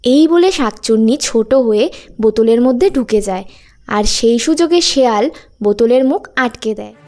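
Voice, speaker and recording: A woman's voice: a little high, animated, moderate pace, darkish tone. A woman storyteller reading scripted narration for a children's fairy tale.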